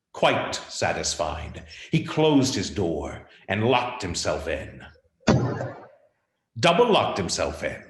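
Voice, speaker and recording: Deep, slow, theatrical male narrator. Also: a little hiss or hum. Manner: theatrical, deliberate emphasis. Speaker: narrator of a radio play. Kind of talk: scripted dramatic storytelling. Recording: roomy, echoing sound.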